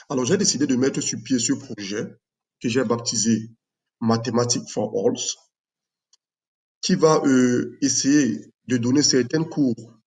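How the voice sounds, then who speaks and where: Man, math teacher, dark mellow tone, measured, hesitant. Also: medium pitch. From Cameroon. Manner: measured, hesitant with many fillers. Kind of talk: video announcement, unscripted.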